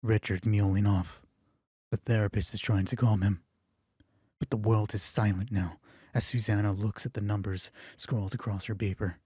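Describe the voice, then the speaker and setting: A man narrating a dramatic roleplay story in a hushed, deliberate way, with pauses between phrases. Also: lowish voice, fairly even intonation, breathy edge, dry recording, clean studio sound.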